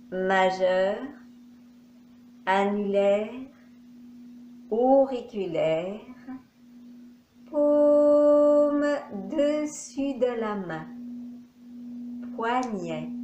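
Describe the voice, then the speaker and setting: A woman teacher guiding a yoga nidra relaxation session in slow, soft, calm instructions with long pauses. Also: mid-range voice, echoey room, lo-fi sound, background noise. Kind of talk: guided relaxation.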